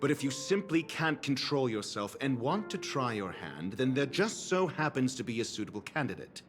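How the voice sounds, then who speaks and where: A man's voice: medium pitch, big pitch swings, natural voice. A man voicing a haughty, sardonic scholarly doctor in a video game, speaking in a pompous, formal manner. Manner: pompous, formal, deliberate phrasing. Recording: background music under dialogue. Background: music.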